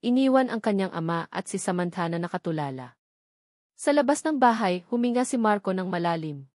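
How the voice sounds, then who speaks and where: A woman's voice: on the low side, a little mellow, dry recording, studio quality. A woman with a Filipino accent reads a scripted romantic drama as a story narrator.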